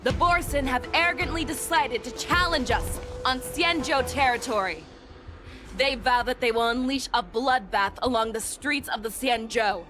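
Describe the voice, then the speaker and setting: A woman's voice: high voice, normal speed, pushed and bright, faint music behind. A woman playing a bold, authoritative general in an anime-style video game. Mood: rousing command. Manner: authoritative, resolute. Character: bold commanding woman general rallying her knights.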